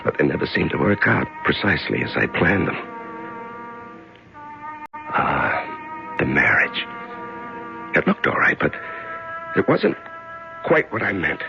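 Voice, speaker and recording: An actor playing a lonely, desperate prisoner in solitary confinement, musing aloud haltingly in an old-time radio drama. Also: male voice, deep voice, wildly swooping pitch, vocal fry, over music, full character voice. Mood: wistful musing, then rueful. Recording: muffled vintage radio drama recording.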